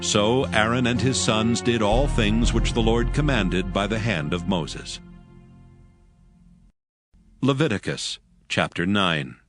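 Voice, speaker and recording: A man narrating scripture from a script in a solemn manner, with measured phrasing. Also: lowish voice, fairly bright tone. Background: music.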